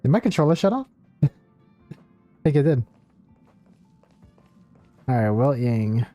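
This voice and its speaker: Man, game streamer, mellow mid-pitched voice, casual commentary, faint music in background. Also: very animated, unhurried, dry recording, dull audio. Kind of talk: casual live gameplay commentary and reading dialogue choices aloud.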